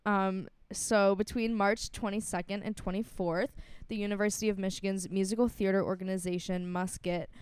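Young woman co-host, giggly and chatty; smooth clear voice, dry close sound. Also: mid-range voice, steady tone, normal speed, hardly any pauses, a little mellow, no room sound. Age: 20s. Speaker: podcast co-host. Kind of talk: casual chat.

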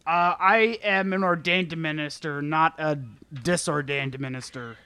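A man's voice: a little high, measured pace, sharp tone, clear, resonant tone. A man chatting casually and jokingly as a podcast co-host. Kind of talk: casual comedic group chat.

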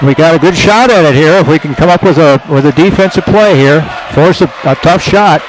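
A man's voice: fairly high pitch, a little fast. A man giving radio color commentary on a basketball game as a coach, talking in a chatty, folksy way with reflective asides. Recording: live gym broadcast with crowd noise.

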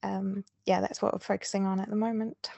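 A woman's voice: medium pitch, little pitch movement, a little fast, gravelly, a little mellow, no room sound. A woman with a New Zealand accent, a filmmaker speaking as a podcast guest, earnest and thinking aloud with many ums and restarts. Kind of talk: podcast interview.

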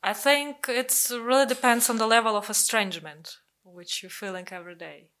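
Bright-voiced woman. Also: on the high side, normal speed. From Russia. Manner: animated, full of "like, you know" fillers. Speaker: discussion participant. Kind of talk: casual discussion.

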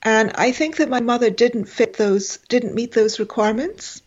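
A woman's voice: fairly high pitch, normal speed, dull audio. A woman in her forties, a guest in an interview, speaking with many fillers and restarts. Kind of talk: conversational interview.

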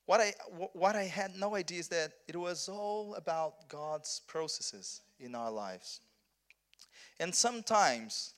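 A man's voice: on the high side, fairly bright tone. A Brazilian man, a Portuguese speaker preaching in English at a conference, earnest, with many restarts and repeated words. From southern Brazil. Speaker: pastor. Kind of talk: conference sermon.

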